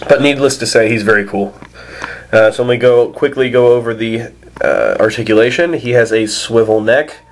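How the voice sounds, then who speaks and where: A man's voice: medium pitch, normal speed. A man with a stuffed-up nose from a cold, casually reviewing an action figure with many fillers and restarts. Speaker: toy reviewer.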